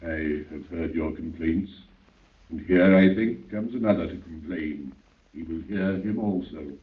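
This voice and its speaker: A man's voice: deep voice, measured pace. An actor playing a vast godlike man, solemn and serene, with grave, stately delivery and a cavernous echo in 1930s radio drama style. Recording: echoing, muffled, tinny 1930s radio recording.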